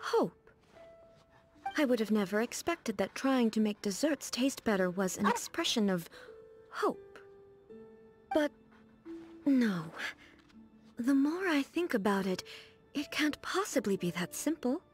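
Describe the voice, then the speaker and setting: Game role: dignified warrior sovereign, higher female voice, formal and composed, music underneath. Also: sing-song, a little slow, pauses often. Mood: earnest, thoughtful. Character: serene, dignified female ruler, a warrior devoted to eternity. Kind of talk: scripted video game character dialogue.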